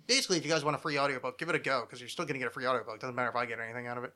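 A man's voice: mid-range voice, steady tone, racing, sharp tone. A man hosting a casual comedy podcast, rambling along with sarcasm and plenty of swearing. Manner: sarcastic, rambling, foul-mouthed. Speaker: podcast host.

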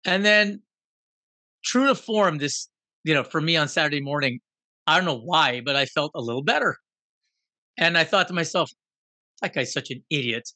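A man in his fifties from Guyana speaks in a bright, forceful voice that sits a little high. He tells his story in an animated, self-deprecating way, and the sound is close and dry. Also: moderate pace, long pauses, no room sound. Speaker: guest. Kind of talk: personal storytelling in conversation.

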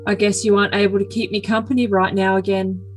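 A woman's voice: medium pitch, little pitch movement, fast talker, close to an everyday voice. A woman voicing an earnest, besotted young man with a solemn, stilted manner in an overly dramatic comic message reading. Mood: besotted, suggestive. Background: music.

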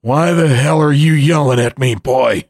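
A man's voice: mid-range voice, a little slow, darkish tone, slightly rough, no room sound, studio quality, voice pushed up. A man voicing a frail, rambling old grandfather who tells a horror story in the first person. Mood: gruff.

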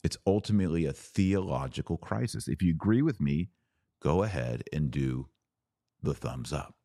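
A man hosting a religious podcast, preaching in an earnest, emphatic way with deliberate, broken-up phrasing. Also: extremely low pitch, lively intonation, mellow, no echo, studio quality. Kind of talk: preachy video commentary.

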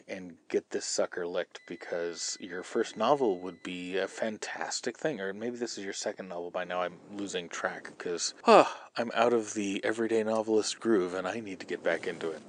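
A man's voice: on the low side, a little mellow, a little hiss or hum. A man hosting a podcast, explaining writing craft in an informal, colloquial way. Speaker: podcast host and novelist. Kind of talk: casual writing advice podcast.

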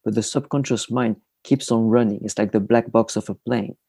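A man's voice: on the low side, steady tone, gravelly, dark tone, slightly rough, no room sound, clean studio sound. A man in his forties from France with a French accent, a podcast guest who halts and searches for his words as he explains. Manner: halting, searching for words mid-sentence. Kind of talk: conversational interview answers.

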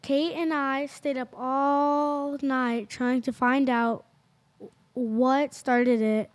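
A young girl playing a chatty, excitable best friend in an amateur youth radio play. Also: high-pitched, very slow, dry recording. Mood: proud. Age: child or teen.

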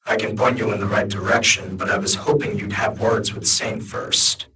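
A man reading a guarded, formal male armored hero in an audiobook, speaking in a measured way. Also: on the low side, steady tone, bright, forceful tone, distant mic, rough recording. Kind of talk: audiobook character voice.

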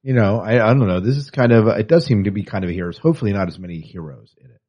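A man's voice: on the low side, lively intonation, racing, darkish tone, lo-fi sound. A man co-hosting a podcast in casual, opinionated chat with wry asides, reading and commenting on news. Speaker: podcast co-host.

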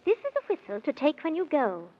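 A woman's voice: sits high, wildly swooping pitch, brisk. An actress playing a good fairy-tale queen who gives reassuring instructions in theatrical rhyme for a 1930s children's radio serial. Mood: reassuring, instructive. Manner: theatrical, sing-song rhyming instruction. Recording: dull vintage 1930s radio recording.

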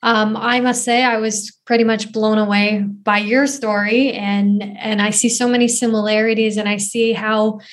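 A woman, answering as a guest on an interview podcast. Her voice sits at an ordinary middle pitch. She speaks earnestly and thoughtfully, dotting her answers with "you know" and "um". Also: little pitch movement, normal speed, few pauses. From Canada. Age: 20s. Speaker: guest, footballer and activist.

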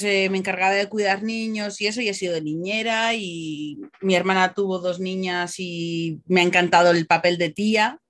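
A woman from the Basque Country, telling personal stories casually as a podcast guest, acting out bits of dialogue. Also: medium pitch. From Basque Country, Spain. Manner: chatty, animated retelling with quoted dialogue.

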